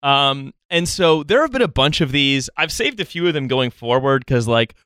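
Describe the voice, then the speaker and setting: A man's voice: medium pitch, animated, moderate pace, a bit bright, clear, resonant tone, no room sound, clean studio sound. A man hosting a podcast, chatting casually about the news in a sarcastic, satirical way. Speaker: podcast host.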